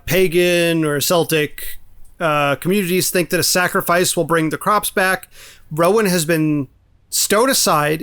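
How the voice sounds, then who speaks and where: A man's voice: on the high side, fairly bright tone, clean tone. A man co-hosting a podcast, explaining details casually with precise wording. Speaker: podcast co-host. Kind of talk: casual film discussion.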